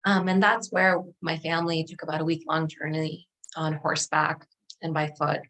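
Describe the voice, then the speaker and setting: Interview guest: middle-aged woman, native Dari speaker, low-pitched voice, recounting personal history thoughtfully. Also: level tone, normal speed, a bit bright. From Afghanistan and Pakistan.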